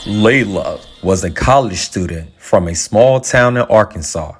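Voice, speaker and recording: A man reading scripted radio drama narration in a slow storyteller manner. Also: fairly low pitch, animated, faint music behind.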